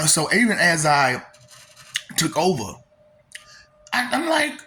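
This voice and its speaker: A man's voice: on the high side, huge pitch swings, slow, bright, forceful tone, slight background noise. A man giving a casual, preachy monologue full of rhetorical questions and filler.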